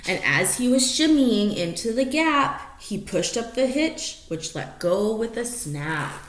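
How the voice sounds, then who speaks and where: A woman reads a rhyming picture book to young children with a very lively, swooping delivery. She takes her time, drawing out key words and pausing for asides and questions. The room adds noticeable echo to her voice. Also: a little high. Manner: animated storyteller, stretching key words, asking prediction questions. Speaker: storybook reader for young children. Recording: roomy echo, faint background noise.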